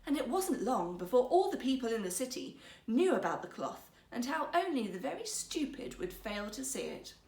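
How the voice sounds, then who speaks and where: A woman storyteller narrating a fairy tale in an expressive, theatrical, carefully phrased way. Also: fairly high pitch, moderate pace, roomy sound.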